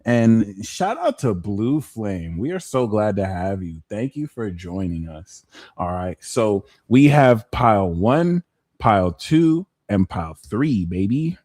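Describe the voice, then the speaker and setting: Dude doing a live tarot reading, joking around with slang, dry sound. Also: male voice, lowish voice, big pitch swings, takes it slowly, darkish tone, dry recording. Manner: playful, slangy, jokes between readings. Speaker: tarot reader and host.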